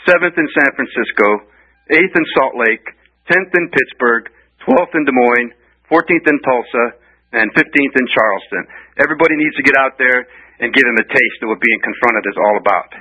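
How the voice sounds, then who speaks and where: A man calling into a radio talk show, his voice bright and sharp. He reads off tour dates and cities one by one. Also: medium pitch, normal speed, rough recording. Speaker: caller.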